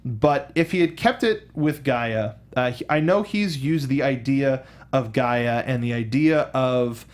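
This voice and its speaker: Halting, enthusiastic man talking film. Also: mid-range voice. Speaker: co-host of a film discussion show. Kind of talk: casual film commentary.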